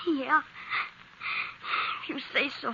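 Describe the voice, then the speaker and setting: A woman's voice: sits high, steady tone, slow pace, vocal fry, heavily acted. A woman playing an anguished, earnest farm boy with an American accent in a 1950s radio western. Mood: subdued, ashamed. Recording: muffled, noisy old radio broadcast.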